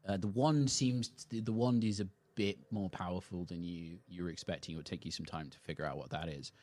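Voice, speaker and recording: Low-voiced man running a tabletop game. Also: a little fast, a little mellow. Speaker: game master. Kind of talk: narrating a tabletop role-playing game.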